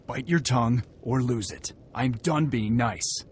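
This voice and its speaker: An actor playing a proud, confrontational clan warrior in a video game, brusque and scornful toward outsiders. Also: male voice, mid-range voice, a little slow, slight background noise, natural voice. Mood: hostile, scornful. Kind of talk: video game cutscene acting.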